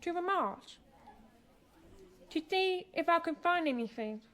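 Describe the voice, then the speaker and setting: Sullen teenage girl, deaf, high voice, blunt and determined, radio drama. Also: animated, unhurried, a bit bright, heavily acted. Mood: defensive, earnest. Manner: blunt, terse. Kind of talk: naturalistic radio drama acting.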